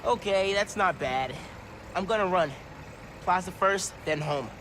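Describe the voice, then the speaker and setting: A young actor playing a young male superhero who talks in a lively, casual and enthusiastic way. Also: high-pitched, a little slow, a little hiss or hum. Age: young adult. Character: young male teen superhero, upbeat and techy.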